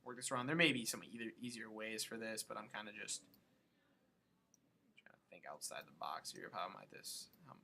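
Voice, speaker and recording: A man giving a casual software tutorial, thinking aloud and loosely muttering to himself between steps as he works. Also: fairly low pitch, fairly quick, creaky, slight background noise. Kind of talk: casual screen-recorded tutorial, thinking aloud while working.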